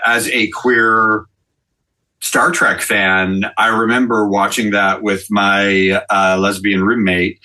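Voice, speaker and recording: Bright, low-pitched man, unhurried and earnest. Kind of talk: reflective panel conversation.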